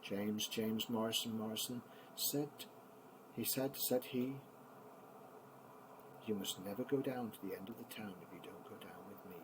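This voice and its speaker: An older man, a grandfather, reading children's poems aloud in an expressive, theatrical bedtime style. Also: lowish voice, measured pace, pauses often, background noise.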